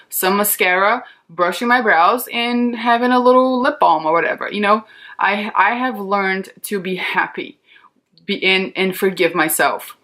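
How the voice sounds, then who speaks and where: A woman's voice: medium pitch, a bit bright. A woman in her thirties from Brazil, a Portuguese speaker, chatting in a candid, reassuring, informal way like a beauty vlogger. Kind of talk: casual chatty makeup tutorial.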